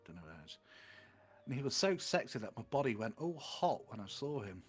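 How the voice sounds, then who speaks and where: A man's voice: mid-range voice, sing-song, fairly quick, husky, faint music behind. A man reading fan fiction aloud in a comic way, with casual banter in between.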